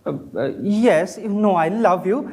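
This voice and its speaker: A woman playing an earnest, nervous young gentleman in love in a stage comedy, hesitant and pleading. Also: medium pitch, expressive, a little slow. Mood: eager, flustered. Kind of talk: theatrical stage comedy of manners. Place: indoor stage performance.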